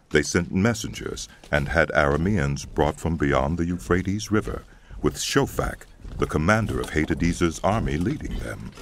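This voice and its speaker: A man narrating a dramatized scripture audiobook in a solemn, deliberate storytelling style. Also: a bass voice, creaky, noisy background. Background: music.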